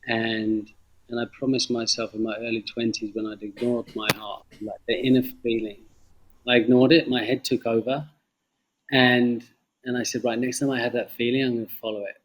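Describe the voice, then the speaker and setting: A middle-aged man with a London English accent tells his own story reflectively in an interview, halting and repeating phrases for emphasis. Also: medium pitch, little pitch movement, poor audio. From London, England. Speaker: interview guest, former banker turned coffee farmer. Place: remote video call from Indonesia.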